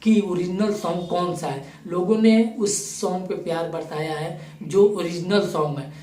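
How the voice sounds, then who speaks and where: A man's voice: high-pitched, fairly even intonation, normal speed, darkish tone. A man giving opinionated video commentary to viewers in an emphatic, accusatory manner. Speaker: YouTube commentator. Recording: roomy echo, distant microphone.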